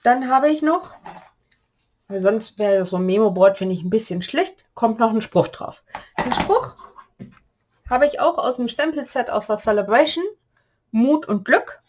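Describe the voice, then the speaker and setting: A woman with a slight cold-hoarse voice, chatting through a step-by-step paper-craft tutorial and explaining the measurements as she works. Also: on the high side, expressive, unhurried, long pauses, darkish tone, slight background noise. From Germany.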